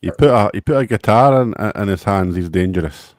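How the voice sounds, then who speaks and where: A man's voice: fairly low pitch, expressive, fairly quick, no room sound. A man from Glasgow with a Glaswegian accent, laid-back and brief, chatting on a video call. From Glasgow, Scotland. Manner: laid-back, brief replies, laughs quietly. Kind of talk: casual chat on a video call.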